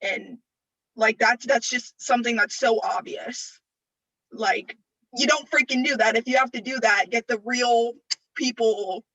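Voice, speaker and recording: A young woman with a bright, sharp-edged voice joins a casual student discussion. Her phrasing is loose and halting, yet her opinions come out emphatically. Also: a little high, poor audio. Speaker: student group member.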